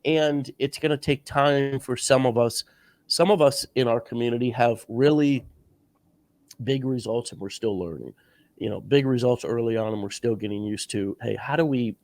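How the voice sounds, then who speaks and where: A man's voice: mid-range voice, a little mellow, no echo. A man hosting an interview podcast, stuffed up with a sore throat, giving earnest coaching advice in a casual chat. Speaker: podcast host.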